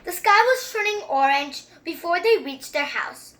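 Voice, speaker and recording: A young-sounding story reader who reads a picture book aloud and chats through it with expressive, wandering asides. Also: extremely high pitch, measured pace, slight background noise. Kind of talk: children's story time read-aloud with excited running commentary.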